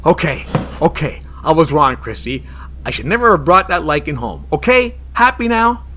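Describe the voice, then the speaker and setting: A man's voice: a little high, very animated, moderate pace, pushed and bright, full character voice. A man playing a hapless, hot-tempered monster hunter in a comedy-horror audio drama, pleading and exasperated. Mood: miserable, flustered.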